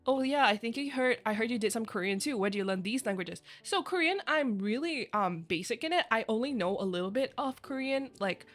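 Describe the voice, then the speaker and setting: A woman whose first language is Chinese, chatting casually with her viewers on a live stream, bubbly and quick to giggle. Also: a little high, lively intonation, faint music behind. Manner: bubbly and giggly, laughs often; chatty, rambling asides.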